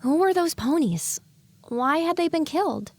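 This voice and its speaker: Woman acting an excitable, high-voiced young pony mare. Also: moderate pace, no echo. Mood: uneasy. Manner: animated inner monologue. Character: young excitable female earth pony, anxious and sassy. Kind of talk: audio drama acting.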